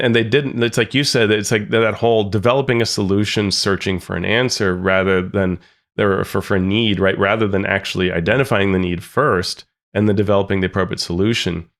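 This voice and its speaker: Earnest man with a low voice. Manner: earnest, critical, many restarts. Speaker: podcast co-host. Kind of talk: casual discussion.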